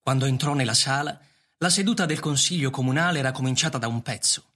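A man's voice: medium pitch, quick pace, fairly bright tone. A man narrating a scripted radio drama as a storyteller. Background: music.

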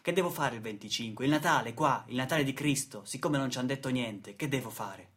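A man's voice: medium pitch, brisk, fairly bright tone, natural voice. A man acting a weary, indignant factory worker in a dramatic radio play monologue, with stammered repetitions. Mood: angry. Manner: dramatic, stammering repetitions, swings from muttering to outbursts. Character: weary, indignant male factory worker telling his grim story.

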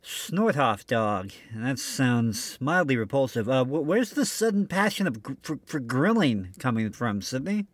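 A man's voice: mid-range voice, very animated, unhurried, hardly any pauses, no room sound, studio quality. A man hosting an old-time radio show in scripted comic banter, mock-serious with some stammering.

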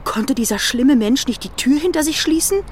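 An actress playing a matter-of-fact, dryly teasing police detective who briefs crisply in a German radio crime drama. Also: female voice, high voice, animated, normal speed, a little mellow, a little hiss or hum. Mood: businesslike.

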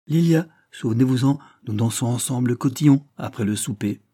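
A man voicing a careless, lovestruck young lord who speaks in a loud, flippant way. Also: medium pitch, a little fast, no echo, clean studio sound, natural voice. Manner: boisterous, flippant.

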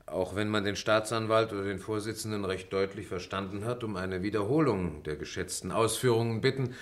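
An actor playing a middle-aged lawyer and former pupil, composed, formal and dryly witty, in a German radio play. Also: male voice, fairly low pitch, lightly acted. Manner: formal, measured, dry. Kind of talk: German radio play acting, measured recitation.

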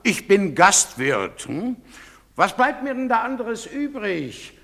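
An actor playing an innkeeper justifying himself in a chummy, defensive way. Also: male voice, high-pitched, very animated, takes it slowly, gravelly, a bit bright, exaggerated voice. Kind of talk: radio play acting. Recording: long reverberant studio echo.

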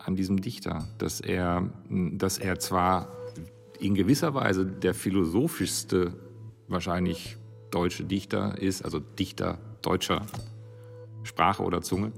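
A man reflecting spontaneously as a literary commentator, halting and repeating phrases as he searches for words. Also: low voice, steady tone, creaky, soft background music.

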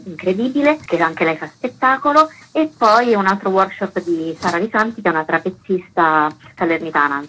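A woman's voice: low voice, fairly bright tone, husky, rough recording. A woman speaking spontaneously as a guest in a radio interview, as a festival's artistic director.

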